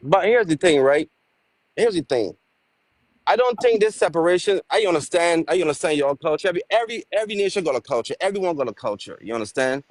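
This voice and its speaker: A man's voice: a little high, animated, fast talker, no echo. A man from Barbados with a Bajan accent, arguing insistently as a guest on a heated live stream and repeating his points.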